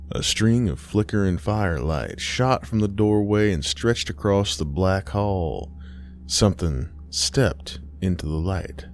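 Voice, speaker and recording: A man's voice: lowish voice, darkish tone, a little hiss or hum. A man narrating a scripted Southern Gothic horror story in a folksy storytelling manner. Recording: faint music underneath. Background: faint music.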